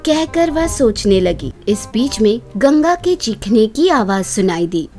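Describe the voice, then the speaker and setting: Woman storyteller, high lively voice, slow bedtime story narration, music behind. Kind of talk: scripted children's story narration.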